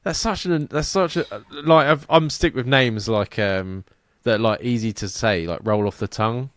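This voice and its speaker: A young man jokes around as a podcast co-host, giggling and running himself down. His voice sits mid-range and sounds dry and close, with no room behind it. He swears loosely and talks in quick, rambling bursts. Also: mid-range voice, no room sound. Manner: giggly, self-deprecating, swears casually. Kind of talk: casual banter.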